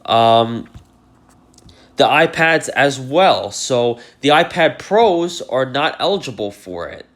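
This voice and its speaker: Brief: male tech vlogger, congested from a cold, casual and rambling, mid pitch. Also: very animated, slow pace, fairly bright tone. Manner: rambling, lots of fillers like "uh" and "you know". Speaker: tech YouTuber. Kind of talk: casual talk to camera.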